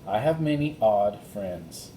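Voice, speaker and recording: A man voicing a kindly, mysterious enchantress librarian in a storybook, in a calm, measured manner. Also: on the low side, reverberant, slight background noise, rough recording.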